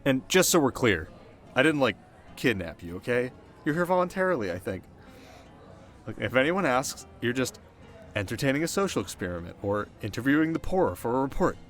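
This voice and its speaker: A cocky teenage bad boy acted by a man speaks over background music in an ASMR roleplay. His voice sits at a middling male pitch, and he talks in loose street slang, teasing on the surface and soft-hearted underneath. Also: big pitch swings, brisk. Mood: sheepish, sincere. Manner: slangy, casual, stumbling when flustered. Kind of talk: ASMR roleplay acting, addressing the listener.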